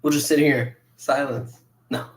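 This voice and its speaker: A man's voice: on the high side, steady tone, measured pace. A man hosting a live podcast panel, chatting casually as he moderates.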